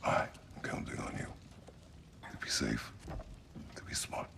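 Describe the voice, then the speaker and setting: An actor playing a stern, protective warrior father in a video game, gruff and gravelly, speaking in terse formal commands. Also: measured pace, background noise, close to an everyday voice. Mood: grave, firm. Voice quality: deep, gravelly. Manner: terse, commanding, formal phrasing without contractions. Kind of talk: dramatic video game acting.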